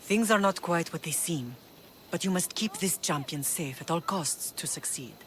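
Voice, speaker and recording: A woman's voice: fairly low pitch, lively intonation, moderate pace, fairly bright tone, a little hiss or hum. A woman acting a proud, determined Spartan mother in a video game, middle-aged, grave and composed.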